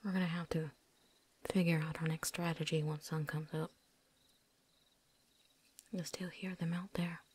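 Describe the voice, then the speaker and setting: A woman playing a tough, world-weary vampire hunter in a whispered ASMR roleplay, breathy, with a folksy put-on drawl. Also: low voice, flat delivery, moderate pace, pauses often, a little mellow. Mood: low, steady. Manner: folksy drawl with dropped endings, put-on accent.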